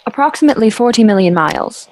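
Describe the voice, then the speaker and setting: A woman's voice: on the high side, animated, fast, a little mellow. A woman playing a dignified, skeptical astronomy professor in a 1930s-style radio drama, formal, precise and dismissive. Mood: assured, dismissive.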